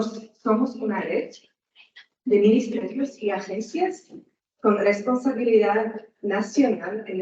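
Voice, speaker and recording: Woman, Slovak accent, Portuguese traces, hesitant, slow, distant mic, dull sound. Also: lowish voice, mellow. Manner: hesitant, searching for words in a non-native language. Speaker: guest speaker. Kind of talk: conference talk. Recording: echoey hall, distant mic, muffled.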